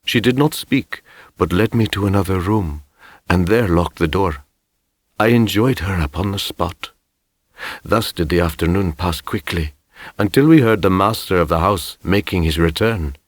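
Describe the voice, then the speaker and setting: A man as a deep, husky, solemn medieval envoy. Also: dry recording, clean studio sound. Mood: grave, measured. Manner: stately, deliberate, formal. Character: solemn, formal medieval male envoy recounting his travels. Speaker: audiobook narrator. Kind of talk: first-person scripted storybook narration.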